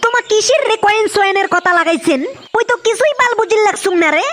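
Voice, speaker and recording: A performer with a pitched-up voice filter plays a clueless, grumbling cartoon buddy. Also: fast, noisy background. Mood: puzzled. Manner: complaining, crude. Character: cartoon elder buddy, clueless, grumbling, foul-mouthed creditor. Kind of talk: comic cartoon banter.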